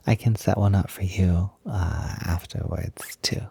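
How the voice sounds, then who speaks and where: Man acting as smitten young male roommate, very dark mellow tone, husky and soft, unhurried, playful, reassuring, intimate roleplay audio. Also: low-pitched, fairly even intonation, dry recording, in a whisper, exaggerated voice. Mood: gentle, appreciative. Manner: gentle, hesitant, giggly, softly doting. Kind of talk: intimate roleplay audio, casual improvised-sounding chat.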